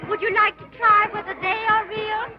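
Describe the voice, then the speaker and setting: A woman's voice: very high-pitched, little pitch movement, moderate pace, lo-fi sound, crowd noise. An actress playing a cheerful young lady at a fair who talks lightly, heard from a distance on an old film soundtrack.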